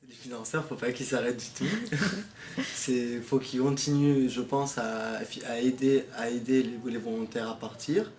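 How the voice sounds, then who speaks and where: A man's voice: mid-range voice, fairly even intonation, measured pace, reverberant. A young man, a former volunteer, telling his experience in a casual testimonial, hesitant with many restarts.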